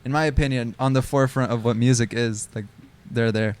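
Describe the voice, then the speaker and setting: A man's voice: medium pitch, fairly even intonation, brisk, darkish tone, clear, resonant tone. Easygoing young musician in his twenties. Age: 20s. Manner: easygoing, slangy, mixes in a little Spanish. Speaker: band member. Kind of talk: casual chat.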